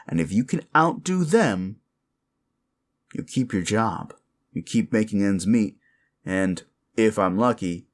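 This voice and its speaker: A man playing a sleazy, bullying werewolf office boss in an audio roleplay, condescending, cajoling and foul-mouthed as he berates the listener. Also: lowish voice. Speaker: voice actor performing a roleplay.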